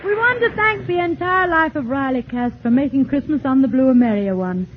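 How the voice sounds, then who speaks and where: A woman's voice: high voice, lively intonation, a little fast, narrow, tinny audio. Polished woman radio announcer, 1940s broadcast. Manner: polished, formal introduction. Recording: thin 1940s radio broadcast with light hiss.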